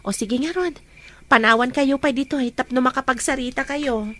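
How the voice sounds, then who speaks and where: A woman with a Filipino accent playing a young, secretly troubled friend in an Ilocano audio drama, with slow, deliberate delivery. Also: high-pitched, a bit bright. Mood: friendly.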